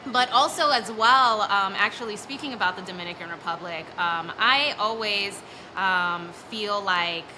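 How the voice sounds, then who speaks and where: A woman's voice: mid-range voice, normal speed. A young woman from New York City chatting casually as a guest, with many fillers. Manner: chatty, lots of fillers and likes. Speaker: guest, bakery employee. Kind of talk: casual chat. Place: bakery during a birthday event. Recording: noisy live event.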